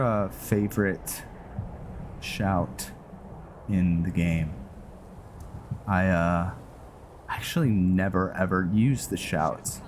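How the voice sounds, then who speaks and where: A man giving casual, rambling gameplay commentary, halting often as he thinks aloud. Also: deep voice, slight background noise. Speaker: gaming video host. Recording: music behind the voice. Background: game music.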